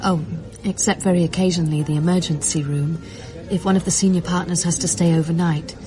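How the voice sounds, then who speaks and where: A woman's voice: on the low side, steady tone, fairly quick, a crowd in the background, close to an everyday voice. A woman playing a hesitant, evasive secretary in a radio drama, speaking in a guarded way. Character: Alice, hesitant, evasive young office secretary.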